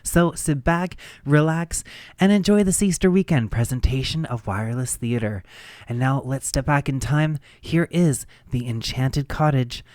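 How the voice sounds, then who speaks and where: A man with a medium-pitched voice presents vintage radio programs as a host. He reads from a script in a measured, storytelling manner, and the sound is close and dry. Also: darkish tone, dry recording. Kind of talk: scripted introduction to old-time radio programs.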